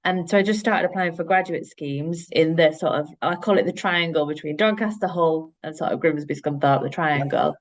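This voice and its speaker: A woman with a Yorkshire accent and a lowish voice talks plainly and directly about her work. She sounds to be in her late thirties. She speaks as an interview guest in an easy, practical way, with plenty of ums. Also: moderate pace, few pauses. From Yorkshire, England. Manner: direct, practical, frank, with fillers. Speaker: guest, head of operations. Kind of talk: podcast interview, casual chat.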